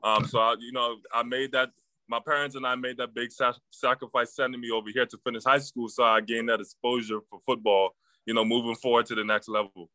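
Young football player from the bahamas, halting answers, bright voice, dry sound. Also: male voice, mid-range voice, level tone, dry recording, rough recording. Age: young adult. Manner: halting, many fillers. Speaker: newly drafted football player. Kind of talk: press conference answers.

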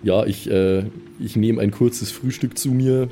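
A man's voice: on the low side, steady tone, a little slow, warm tone, a little hiss or hum. A man chatting casually as a player in an improvised tabletop role-play.

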